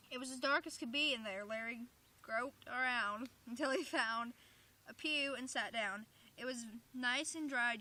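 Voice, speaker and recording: High, bright-voiced woman reading stories. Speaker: storyteller reading aloud. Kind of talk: informal storybook read-aloud with chatty asides.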